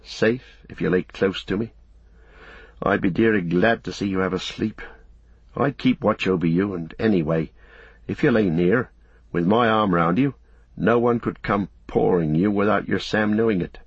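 A man's voice: on the low side, moderate pace, husky, no echo, muffled recording. A man voicing a loyal, protective halfling servant with blunt, homely rustic phrasing in a storybook reading. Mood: tender, protective.

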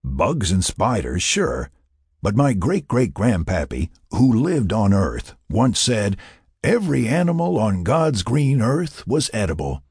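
A man's voice: fairly low pitch, big pitch swings, unhurried, darkish tone, slightly rough, no room sound, studio quality, pitched above the performer's own voice, exaggerated voice. A man voicing a cocky, hot-headed male settler, folksy and argumentative, in an audiobook. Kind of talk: audiobook character dialogue.

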